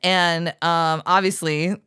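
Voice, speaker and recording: A woman hosting a podcast in casual chat, with a low voice and an enthusiastic, chatty way of asking questions. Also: steady tone, takes it slowly, pushed and bright, clear, resonant tone, no echo, studio quality. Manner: enthusiastic, chatty, many fillers. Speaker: podcast host.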